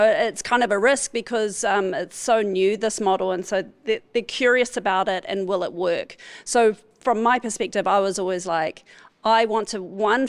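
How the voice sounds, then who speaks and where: A woman speaking conversationally on a panel, telling her experience and often acting out quoted dialogue. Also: mid-range voice. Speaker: panelist, fractional executive.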